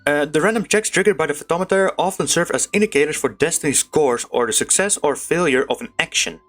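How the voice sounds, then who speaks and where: Low-voiced woman streamer over game music. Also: fast. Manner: informal, slangy. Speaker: gaming streamer playing a story game. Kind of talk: casual live game commentary, reading on-screen text.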